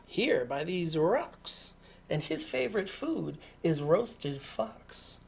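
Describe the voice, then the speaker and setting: A woman's voice: on the low side, sing-song, slow pace, creaky, darkish tone, husky, full character voice. A woman voicing a small, clever brown mouse who bluffs politely and confidently, in a picture-book read-aloud. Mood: sly warning. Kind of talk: animated picture-book character reading.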